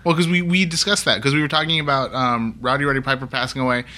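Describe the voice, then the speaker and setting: A man hosts a casual podcast with a bright, forward voice. His talk is loose and filler-heavy, and he leans on "like" constantly. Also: mid-range voice, steady tone, quick pace. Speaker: podcast host. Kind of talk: casual chat.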